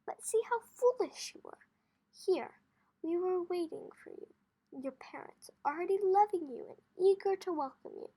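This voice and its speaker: Storybook father, a farmer played by a woman reader: high voice, calm and wise, earnest measured delivery, gently instructive. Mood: fond, amused.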